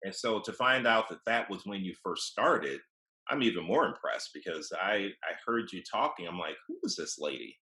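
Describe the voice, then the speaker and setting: Deep-voiced man hosting a podcast. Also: sing-song, moderate pace, bright, forceful tone. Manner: encouraging, brief affirmations. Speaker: podcast host. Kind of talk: casual interview.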